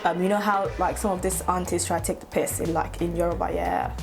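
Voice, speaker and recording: A woman's voice: lowish voice, little pitch movement, brisk, over music. A woman, talking casually to her camera and laughing often as she chats. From Nigeria. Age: 20s. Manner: chatty, laughs often, playful asides. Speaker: YouTuber. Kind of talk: casual get-to-know-me vlog.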